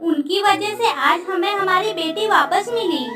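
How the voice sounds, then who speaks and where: A woman's voice: extremely high pitch, measured pace, roomy sound, recorded from a distance, music in the background. A woman voicing a tender, regal queen mother in a cartoon story, emotive and commanding. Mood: gracious. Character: tender, regal queen mother, grieving for her lost daughter. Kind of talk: Hindi cartoon story dialogue.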